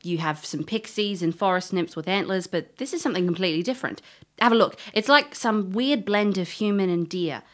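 A woman's voice: mid-range voice, fairly quick, a bit bright, natural voice. A woman playing a confident, wry elf investigator in a fantasy audio drama, offhand and understated. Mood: wry, investigative.

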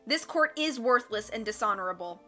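A woman's voice: a little high, expressive, fairly quick, pushed and bright, soft background music. A woman voicing an earnest young girl with a fierce, pleading manner in a storybook reading.

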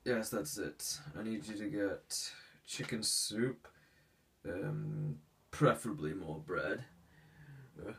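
A haughty, sick young heir, played by a man in an ASMR roleplay talks in a formal, hesitant way, stuffy with a cold. His voice sits low and unhurried. The mic is a little distant, with faint hiss behind. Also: vocal fry.

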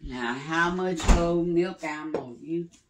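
Slow, chatty older woman. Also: low-pitched, noisy background. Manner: unhurried, chatty, talks while working with pauses and fillers. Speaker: home cooking channel host. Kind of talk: casual cooking demonstration. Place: home kitchen, indoors. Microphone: phone camera a few feet away on the counter.

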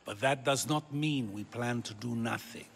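An actor playing a warm, thoughtful Greek philosopher in a video game, measured and reassuring. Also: male voice, medium pitch, creaky, slightly rough. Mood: resolute. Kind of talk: video game cutscene acting.

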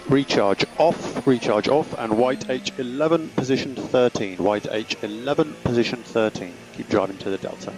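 A man's voice: medium pitch, normal speed, hardly any pauses, music in the background. A man speaking as a race engineer over team radio, giving procedural instructions and feedback. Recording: noisy car team radio. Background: car noise.

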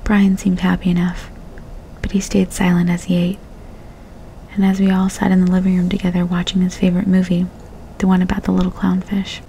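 A woman's voice: on the low side, monotone, brisk, creaky, a little hiss or hum, rough recording. Woman whispering a horror story. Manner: soft unvoiced whisper throughout. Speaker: narrator.

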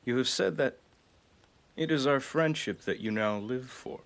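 A man's voice: medium pitch, a little slow, natural voice. A man playing a proud, skeptical samurai lord in a video game, cool and terse in manner. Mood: troubled. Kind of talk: dramatic video game voice acting.

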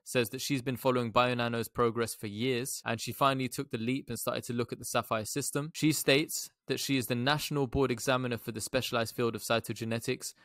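A man's voice: medium pitch, steady tone, brisk, hardly any pauses, fairly bright tone, dry recording. A young man giving informal explanatory commentary as a stock analysis presenter, recorded close to a desktop microphone. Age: young adult.

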